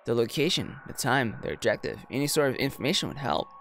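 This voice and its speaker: A man's voice: medium pitch, sing-song, quick pace, gravelly, fairly bright tone, a little hiss or hum. A man reading a visual novel aloud as a streamer, breaking off for slangy, jokey reactions. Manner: slangy, jokey asides between read lines. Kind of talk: reading game text aloud with casual reaction commentary. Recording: music behind the voice. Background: music.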